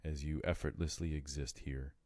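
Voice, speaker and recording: Meditation guide, male: very deep and mellow, soothing and deliberate in delivery, unhurried pauses between phrases. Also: fairly even intonation, no room sound.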